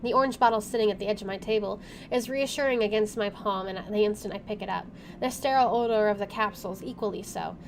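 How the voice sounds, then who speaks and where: A woman's voice: mid-range voice, quick pace. Woman narrating a game over faint noise. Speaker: game player, channel host. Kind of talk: reading a visual novel aloud with casual asides.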